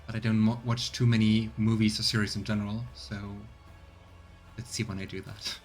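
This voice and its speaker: A man's voice: on the low side, little pitch movement, fairly quick, soft background music. A man giving casual let's play commentary and reading game text aloud. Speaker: streamer. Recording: clear background noise.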